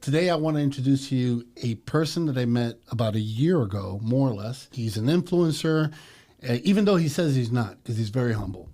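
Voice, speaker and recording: A man's voice: medium pitch, expressive, fairly quick, warm tone. A middle-aged man hosting a podcast, chatting and leading his guest with questions. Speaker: podcast host. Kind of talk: casual interview hosting.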